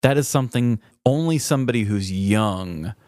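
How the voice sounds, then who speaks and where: A man's voice: medium pitch, expressive, moderate pace, a little mellow, no echo, studio quality. A man co-hosting a podcast, telling stories in a casual, comedic way. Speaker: podcast co-host. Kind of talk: casual comedic storytelling chat.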